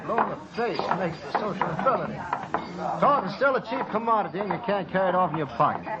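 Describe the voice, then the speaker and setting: An actor playing a shifty, defensive saloon gambler in a radio western, with a folksy, evasive manner. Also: male voice, high-pitched, lively intonation, quick pace, over a noisy crowd. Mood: brash. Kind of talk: old-time radio western acting. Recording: dull, noisy old radio broadcast recording.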